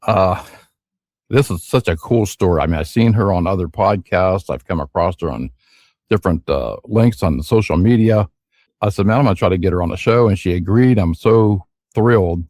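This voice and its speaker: A man's voice: low-pitched, darkish tone, no room sound, studio quality. A man hosting a podcast in a casual, upbeat and chatty way, often using fillers. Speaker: podcast host. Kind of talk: casual interview chat.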